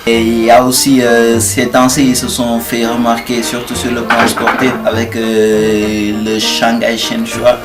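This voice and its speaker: A man from Senegal answering street interview questions, hesitant and pausing often. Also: lowish voice. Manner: hesitant, many pauses and fillers. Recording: roomy, distant, music underneath.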